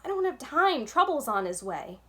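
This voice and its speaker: A woman's voice: mid-range voice, expressive, moderate pace, a bit bright. An earnest young boy, read aloud by a woman. He speaks plainly and a little wearily, as the hero of a children's storybook. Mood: weary.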